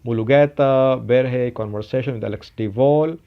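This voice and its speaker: A man presenting a solo news explainer point by point, in a deliberate manner, addressing his viewers. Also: mid-range voice, smooth. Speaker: news commentator, channel host. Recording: dull, lo-fi voice recording.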